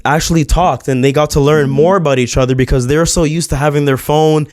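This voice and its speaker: A man's voice: fairly high pitch, fairly even intonation, a little fast, a little mellow, no room sound, clean studio sound. A man co-hosting a podcast, telling stories in casual chat, swearing freely and stumbling over words. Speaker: podcast co-host.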